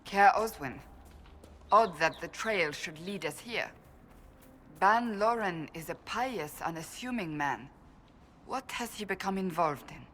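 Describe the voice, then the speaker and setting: A woman's voice: lowish voice, lively intonation, a little slow, a bit bright, a little hiss or hum. A woman playing a stern, righteous warrior in a video game, blunt, serious and formal in her delivery. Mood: stern, disdainful. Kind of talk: video game character dialogue.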